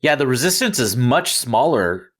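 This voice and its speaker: A man talking casually as a podcast co-host, halting and correcting himself as he goes. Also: medium pitch, sing-song, fairly bright tone, soft background music. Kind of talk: casual fan discussion.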